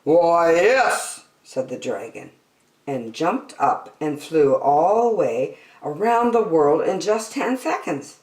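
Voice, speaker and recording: Woman, children's storyteller, low voice, very animated intonation, dramatic emphasis, echoey room. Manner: deliberate, dramatic emphasis on key words. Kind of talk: expressive picture-book read-aloud. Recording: roomy indoor echo.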